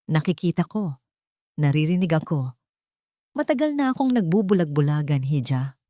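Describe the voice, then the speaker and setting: An elderly mother-in-law, as a female narrator reads her, earnest, worried and full of regret. She confides seriously in a slightly low, expressive storybook voice with wide pitch movement, recorded dry. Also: moderate pace, no echo. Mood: serious, sorrowful.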